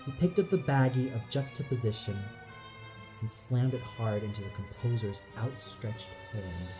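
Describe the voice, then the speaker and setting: A man's voice: medium pitch, normal speed. A man reading dramatic scripted narration for an audio play. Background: music.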